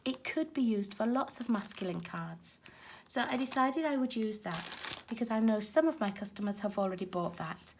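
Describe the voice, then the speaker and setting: A woman with a raspy voice, hoarse from a lingering cold, talks through a card-making demonstration. She sounds chatty and explanatory as she works with her hands at her craft table, and her pitch sits in a middle range. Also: lively intonation, moderate pace, a little hiss or hum. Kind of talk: casual crafting tutorial. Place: craft table at home, filmed from overhead.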